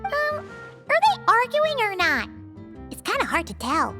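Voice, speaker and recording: Super high squeaky little fairy mascot, chatty n blunt, bouncy pitch, anime game, music behind. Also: female voice, huge pitch swings, unhurried, exaggerated voice. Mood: curious. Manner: bubbly, refers to self by name. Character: small cheerful childlike floating fairy companion, chatty and blunt. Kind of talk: anime video game voice acting.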